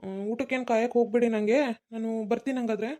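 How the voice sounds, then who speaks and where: A woman's voice: fairly high pitch, fairly even intonation, dark tone, natural voice. A woman voicing an evasive young man who brushes off his mother, in a cartoon. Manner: hurried, brushing off questions.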